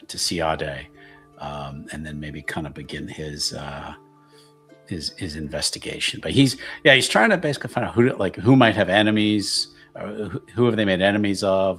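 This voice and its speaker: Low-voiced man thinking aloud mid-game. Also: fairly bright tone. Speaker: player in a tabletop roleplaying game. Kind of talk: casual game chat, describing his character's actions.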